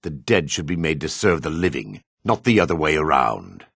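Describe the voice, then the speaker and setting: An actor playing a jaded, sardonic male dark elf in a fantasy video game, with a husky voice. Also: extremely low pitch, very animated, moderate pace, no room sound, clean studio sound, full character voice. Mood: contemptuous. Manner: sardonic, disdainful. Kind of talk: video game character voice acting.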